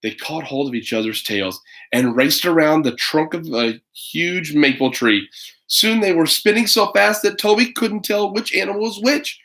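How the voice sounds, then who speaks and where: A man reading a children's picture book aloud in an animated, dramatic way, with chatty asides to a young listener. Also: fairly high pitch, normal speed, low-quality recording. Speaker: storybook reader.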